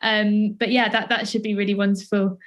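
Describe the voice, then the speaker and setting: A woman hosts an arts podcast. She speaks at a middling pitch, in the manner of someone presenting and interviewing. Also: flat delivery, fairly quick. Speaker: podcast host and interviewer, playwright. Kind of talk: scripted introduction, then conversational interview.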